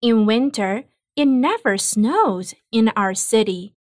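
A woman reading an English listening test in a slow, carefully spaced delivery. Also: fairly high pitch, expressive, a little mellow, dry recording, studio quality. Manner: clear, carefully spaced words. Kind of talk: slow, deliberate exam reading.

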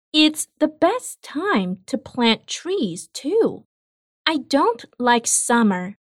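A woman reading an English listening test in a slow, carefully spaced delivery. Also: on the high side, a bit bright, no room sound, clean studio sound. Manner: clear, carefully spaced words. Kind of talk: slow, deliberate exam reading.

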